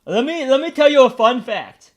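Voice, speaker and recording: A man's voice: extremely high pitch, lively intonation, moderate pace. A young American man chatting casually on a stream, brash and joking, crude and provocative. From United States. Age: young adult.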